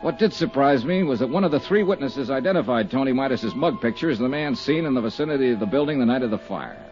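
An actor playing a wry radio private eye. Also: male voice, mid-range voice, animated, fast talker, darkish tone, faint music behind, lightly acted. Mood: narrating. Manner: clipped, dry first-person narration. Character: hard-boiled male insurance investigator, wry. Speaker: insurance investigator and narrator. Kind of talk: 1950s radio drama acting. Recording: dull lo-fi old radio broadcast.

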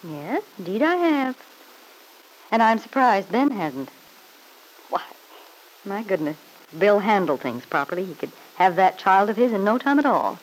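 A woman's voice: medium pitch, big pitch swings, moderate pace, long pauses, poor audio. An actress playing a smug, needling married woman in an old-time radio soap opera, with an arch, mock-sweet, taunting manner. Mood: knowing, taunting.

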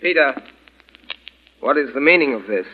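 Thin phone-like old radio sound, guy playing wronged doctor, mid pitch, formal and bitter. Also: animated, normal speed, slight background noise, close to an everyday voice. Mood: indignant. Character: dignified, aggrieved stepfather, a male doctor. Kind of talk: 1940s radio acting.

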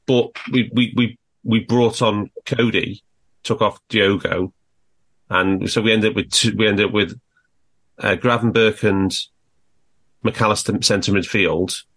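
A man's voice: on the low side, fairly even intonation, unhurried, fairly bright tone. A man hosting a casual football discussion, steering the conversation with questions and prompts. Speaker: podcast host.

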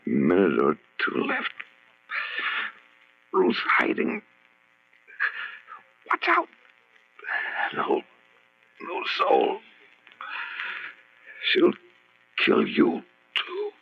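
A sceptical, concerned male friend, speaks in a husky, gravelly voice. His pitch swings dramatically in classic radio horror fashion. The sound is muffled and vintage. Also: huge pitch swings, drawn-out words, pauses often, heavily acted. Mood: dying, gasping. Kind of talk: old-time radio horror acting. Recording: muffled old radio recording.

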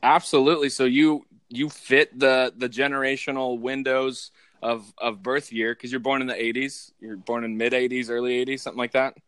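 A man's voice: mid-range voice, flat delivery, normal speed, fairly bright tone, clean tone, no room sound. A man hosting a podcast in casual chat, talking with many fillers and restarts. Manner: rambling, hesitant with many fillers. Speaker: podcast host and pastor.

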